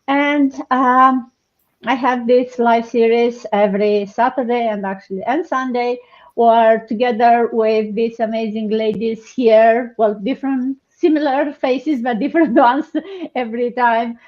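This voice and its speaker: A woman's voice: on the high side, steady tone, unhurried. A woman from Romania hosting a live online panel, talking hesitantly with many fillers and restarts. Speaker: host. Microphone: video call. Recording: dull lo-fi online call audio.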